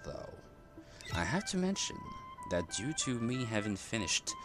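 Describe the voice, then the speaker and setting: A man's voice: on the low side, very animated, a little slow. A man casually commenting over his gameplay, rambling and hesitant with many fillers and restarts. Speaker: gaming streamer. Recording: game music loud behind voice.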